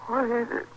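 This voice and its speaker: An actress playing a worn-down, frightened frontier wife, halting and rustic, with an American accent in 1950s radio western style. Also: female voice, bright, forceful tone. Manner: halting, stammering, rustic speech. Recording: noisy old radio drama recording.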